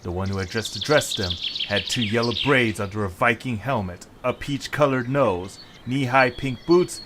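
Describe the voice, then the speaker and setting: A man's voice: medium pitch, moderate pace. A man narrating a scripted story in a dramatic style. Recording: faint background noise with birdsong.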